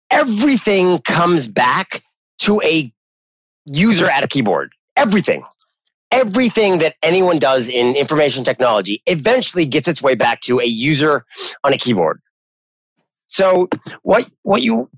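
A man's voice: on the high side, fairly bright tone, dry recording, dull audio. A man from New Hampshire in casual conversation, rambling with many restarts and repeated words. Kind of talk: casual tech podcast chat.

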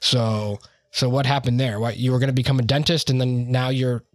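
A man's voice: mid-range voice, level tone, brisk, no room sound, studio quality. A man hosting an interview, talking in a chatty, rambling way with many "you know" and "like" fillers. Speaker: podcast host interviewing IT leaders. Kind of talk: conversational interview.

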